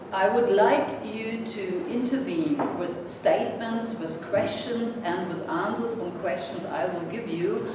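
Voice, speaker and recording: A woman in her sixties with a German accent, a native German speaker, giving a seminar lecture as a guest professor in a halting, courteous, self-deprecating way. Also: medium pitch. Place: indoor seminar room. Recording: echoey room from far off, noisy.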